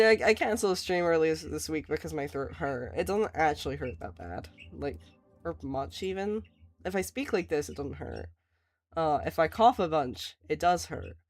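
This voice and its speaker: A woman, hoarse and raspy, chatting casually while she draws on a stream and laughing often. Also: darkish tone. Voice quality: raspy, lower than usual. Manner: laughs often, reassuring, rambling. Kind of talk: casual chat while drawing.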